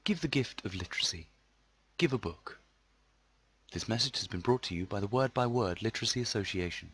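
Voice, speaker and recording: A man's voice: fairly low pitch, animated, fairly quick, a bit bright, slightly rough. A man, an amateur voice actor, delivering polished radio commercial reads.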